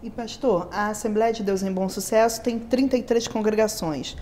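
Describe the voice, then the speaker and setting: A woman's voice: medium pitch, normal speed, dark tone, a little hiss or hum. A woman with a Brazilian accent, hosting an interview with short responses and questions. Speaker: interviewer and programme host.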